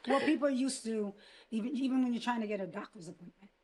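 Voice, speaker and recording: Slightly high-voiced woman, losing her voice. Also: fast, a little mellow. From Connecticut, United States. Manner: earnest, talks in run-on thoughts, lowers her voice on serious points. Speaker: podcast co-host. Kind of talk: casual podcast conversation.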